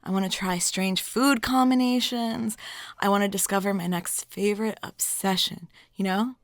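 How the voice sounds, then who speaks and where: Woman acting as a cute flirty waitress, giggly n chatty, kinda coy, mid-pitched voice, very dry recording. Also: steady tone, normal speed, dry recording, lightly acted. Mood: flirty, earnest. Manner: giggly, chatty, coy, trailing into filler questions. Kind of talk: intimate flirtatious roleplay audio.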